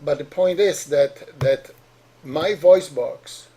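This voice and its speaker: A middle-aged man with a strong Polish accent speaks as a doctor addressing parents, earnest and patient. He often repeats and restarts his words as he works through each point. His voice is mellow and slightly husky. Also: a little high, slow. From Poland. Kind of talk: explanatory talk to parents.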